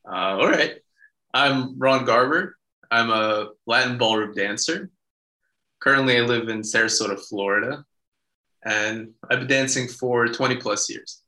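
Bright-voiced young man in an echoey room. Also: medium pitch, measured pace. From Israel. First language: Russian. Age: 20s. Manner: relaxed storytelling with many fillers. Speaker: podcast guest. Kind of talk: casual interview.

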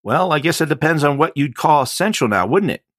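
A man's voice: on the high side, lively intonation, brisk, fairly bright tone, dry recording, studio quality, voice pushed up. A man reading the part of a brash, sardonic male journalist in an audiobook, wry and challenging. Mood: sardonic, defiant. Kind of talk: audiobook character dialogue.

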